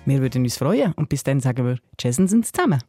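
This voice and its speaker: A man's voice: medium pitch, big pitch swings, fairly quick, over loud music. A man with a Swiss German accent, co-hosting a dialect podcast, upbeat and enthusiastic. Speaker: podcast co-host. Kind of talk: radio chat trailer.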